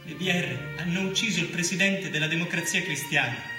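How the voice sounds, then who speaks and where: Male actor as dogged journalist, theatrical narration, sober, measured phrasing, slightly high voice, music underneath. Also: lightly acted. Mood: grave. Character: sober, dogged investigating newspaper journalist narrating his inquiry. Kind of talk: theatrical first-person narration in present tense. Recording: roomy stage sound with loud music.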